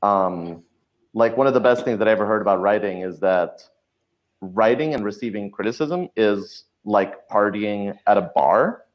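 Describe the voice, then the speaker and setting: A man's voice: fairly low pitch, moderate pace. A man giving thoughtful, explanatory advice as a guest in a conversational podcast interview. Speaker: podcast guest, audio drama writer. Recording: dull lo-fi audio.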